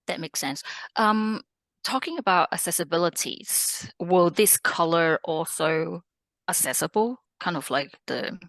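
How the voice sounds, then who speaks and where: A woman's voice: lowish voice, animated, measured pace, a bit bright, dry recording. A woman attending a workshop, asking questions casually in hesitant, piecemeal phrases.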